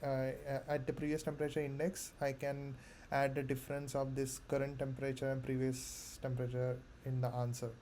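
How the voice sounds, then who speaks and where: A man thinking aloud haltingly as a candidate in a mock coding interview. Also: medium pitch, completely flat delivery, a little mellow, a little hiss or hum. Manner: hesitant, halting.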